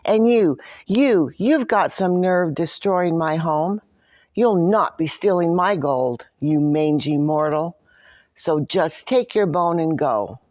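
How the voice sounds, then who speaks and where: Woman voicing a feisty little old leprechaun, very animated. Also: on the low side, slow pace, no echo, muffled recording. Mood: indignant shouting. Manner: feisty, indignant. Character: grumpy, boastful little old male leprechaun shoemaker. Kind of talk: storybook character narration.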